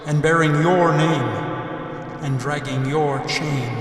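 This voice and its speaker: An actor playing the solemn, accusing inner voice of conscience in a radio drama, declaiming like an incantation through heavy reverb. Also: male voice, on the high side, fairly even intonation, takes it slowly. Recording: long echoing reverb, distant, music behind.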